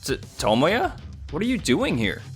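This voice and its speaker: A man's voice: on the high side, wildly swooping pitch, measured pace, faint music behind. A man voicing an earnest, conciliatory young office worker in an English manga dub, sincere and persuasive. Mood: surprised, earnest.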